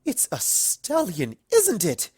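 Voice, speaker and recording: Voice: mid-range voice, wildly swooping pitch, takes it slowly, warm tone, husky, no echo, studio quality, voice pushed up, exaggerated voice. The storyteller voicing a dramatic, refined cartoon pony lady who gushes and shrieks theatrically. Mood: squealing, scandalized.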